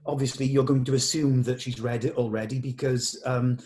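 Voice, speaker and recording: Male director giving measured notes. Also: medium pitch, steady tone, warm tone. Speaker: director leading a script table read.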